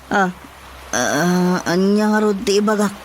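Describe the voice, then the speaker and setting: Bumbling village councilman, Ilocano accent, slow stammering delivery, highish pitch, faint noise. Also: lively intonation. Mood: sheepish. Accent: Ilocano Filipino. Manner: halting, stammering. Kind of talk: Ilocano radio comedy drama acting.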